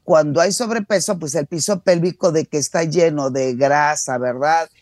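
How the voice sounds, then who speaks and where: A woman's voice: low-pitched, moderate pace, a little mellow, dry recording, clean studio sound. A middle-aged woman, giving conversational expert advice and repeating words emphatically for stress. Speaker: sexologist, expert guest.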